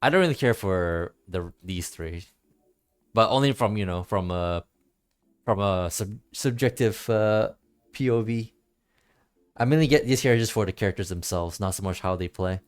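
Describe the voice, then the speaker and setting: A man streaming a game with casual commentary, thinking aloud in a halting, rambling way and repeating his phrases, with game music behind him. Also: lowish voice.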